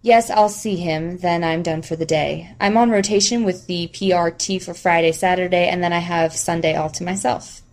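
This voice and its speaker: A woman voicing a composed, weary female therapist in an audiobook, speaking in a measured, professional way. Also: fairly low pitch, steady tone, lightly acted. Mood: resigned.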